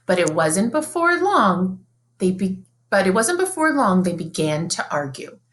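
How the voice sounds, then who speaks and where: A woman reading a children's picture book aloud in an expressive, sing-song storybook manner, like a teacher. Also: medium pitch, a little slow.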